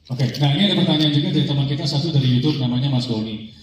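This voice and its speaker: A man moderating a press conference, brisk and upbeat, mixing Indonesian with English phrases. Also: medium pitch, slight background noise. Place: event hall. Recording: echoey hall recording from a distance.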